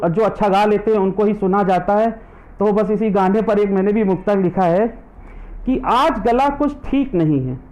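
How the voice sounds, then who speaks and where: A man's voice: high voice, a little mellow, low-quality recording. A man reciting his own comic and devotional verses live online. He says every line twice and stops between poems to greet viewers by name. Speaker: poet; government teacher.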